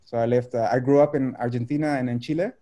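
A man's voice: mid-range voice, fairly even intonation, quick pace, dark tone, highs cut off. A man in his thirties from the Netherlands, a native Dutch speaker talking in English on a video call from his home studio, hesitant with many fillers and restarts. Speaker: artist guest.

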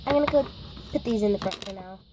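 A woman's voice: mid-range voice, quick pace, background noise. A girl joining a toy shopping scene with short, eager replies. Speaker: toy-play partner. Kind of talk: improvised toy play-acting.

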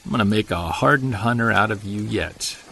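Low-voiced, tense Bigfoot hunter played by a man. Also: brisk, gravelly, a little hiss or hum. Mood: guarded. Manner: terse, authoritative, hushed urgency. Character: tense, commanding male Bigfoot hunter in the woods. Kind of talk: audio drama acting.

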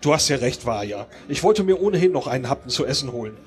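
A man's voice: mid-range voice, animated, fast talker, a little mellow, soft background music, lightly acted. A man playing a suave, probing Soviet husband with a formal manner in a live radio play. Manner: formal, inquisitive.

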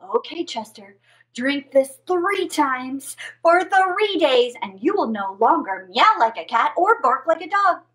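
A woman's voice: high-pitched, animated, measured pace, a bit bright. A woman voicing a helpful, practical storybook witch who gives instructions in a reassuring way. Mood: instructive.

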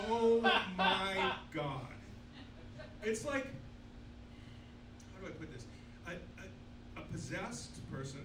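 Crude sixty-year-old man doing stand-up. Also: high voice. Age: 60s. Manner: crude, self-deprecating punchlines. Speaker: stand-up comedian. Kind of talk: stand-up comedy set. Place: small club stage. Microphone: handheld mic, filmed from far back. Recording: echoey club, distant camera, noisy.

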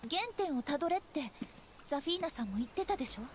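An actress playing a cheerful, earnest young woman who speaks gently and warmly. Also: high voice, lively intonation, fast, a little hiss or hum. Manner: bright, gentle, pleading. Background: game score music.

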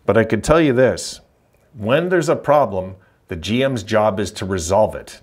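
A middle-aged man hosting a hockey fan channel, giving a frustrated, emphatic postgame rant straight to camera and hammering his points by repeating them. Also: mid-range voice. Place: indoors, green-screen backdrop.

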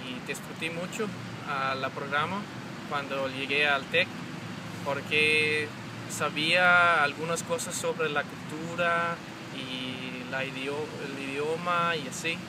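A young man in his twenties from Denmark, speaking a learned second language with a Danish accent, talking slowly and haltingly to camera in a garden, often restarting and searching for words. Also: on the high side, fairly even intonation. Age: 20s. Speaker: exchange student. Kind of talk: testimonial to camera. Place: outdoors in a garden. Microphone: camera mic at close range. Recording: loud outdoor noise.

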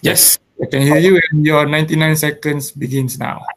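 A man's voice: on the high side, fairly even intonation, moderate pace, fairly bright tone, low-quality recording. A man casually hosting an online meetup over a video call. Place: indoors at home on a video call. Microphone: video call microphone.

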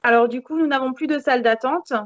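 Higher-voiced woman on a dull video call. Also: steady tone, fast talker, no echo. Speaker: interview guest, hair salon manager. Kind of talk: conversational interview answers. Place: indoors in a room with a beamed ceiling. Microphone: video call, device mic.

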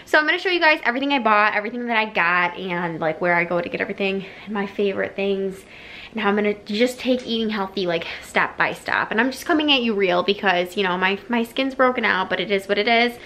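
A woman's voice: mid-range voice, fast, sharp tone. A young woman in her twenties casually chatting to viewers in a home kitchen, filling her talk with "like" as she shows things off to a handheld camera. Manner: chatty, frequent "like" fillers, addresses viewers directly. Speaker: lifestyle vlogger. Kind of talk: casual haul vlog.

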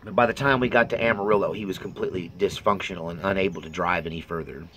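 A middle-aged man with a fairly low voice that has a sharp, forceful edge. He talks off the cuff in a halting, earnest way, as if confiding in his viewers, over faint background noise. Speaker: vlogger. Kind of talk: personal update monologue, unscripted talk.

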